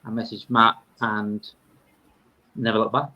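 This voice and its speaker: A man's voice: on the low side, little pitch movement, measured pace, dull audio. Yorkshire man chatting casually. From Yorkshire, England. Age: 30s. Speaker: podcast guest, fan podcaster. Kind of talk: casual conversation.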